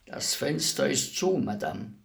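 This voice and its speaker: A man acts out a calm executioner in a radio play, grave and philosophical. He speaks very slowly and deliberately, with a creaky, gravelled edge. His manner is courteous and deferential. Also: medium pitch. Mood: quietly deferential. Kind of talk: literary radio play acting.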